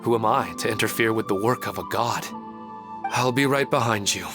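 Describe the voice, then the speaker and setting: Actor as humble gentle father, lowish voice, polite and measured, game dialogue over music. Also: lightly acted. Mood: humble acceptance. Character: gentle, humble family man, polite and resigned. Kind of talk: video game voice acting. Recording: music clearly behind the voice.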